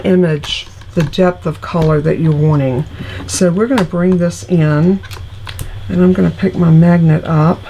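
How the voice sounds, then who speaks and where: A middle-aged woman with a congested, stuffy voice walks through card-making in a chatty, homey way. Her voice sits low and mellow. A faint hiss stays under it. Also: low-quality recording. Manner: chatty, homey, talks through each step. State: congested, recovering from illness. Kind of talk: casual craft tutorial.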